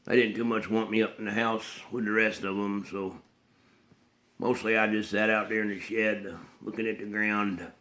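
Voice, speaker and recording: A man acts out a simple, haunted backwoods man from a Southern film drama, in a low voice with a rural Southern US accent. He mumbles plainly and haltingly, repeating himself and breaking his phrases with throaty grunts and hums. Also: little pitch movement, fairly bright tone, lightly acted. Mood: flat, halting.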